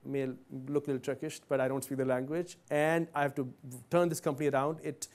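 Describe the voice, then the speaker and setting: A man's voice: a little high, little pitch movement, brisk, a little mellow. A man in his forties from Pakistan, giving a conversational talk to students as a company CEO and asking the audience questions directly. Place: university event hall.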